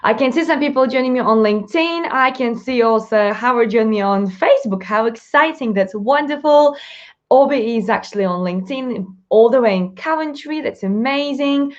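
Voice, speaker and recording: A woman with a Russian accent hosting a live stream Q&A, chatty, upbeat and fast-talking, laughing often. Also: on the high side, lively intonation, muffled recording. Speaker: live show host, mindset coach.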